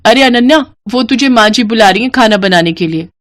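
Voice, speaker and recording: A woman's voice: on the high side, fairly quick, a bit bright, natural voice. A woman voicing a sly, lazy young twin sister in a moral story, wheedling and falsely sweet. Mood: breezy. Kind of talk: acted dialogue in a Hindi moral story.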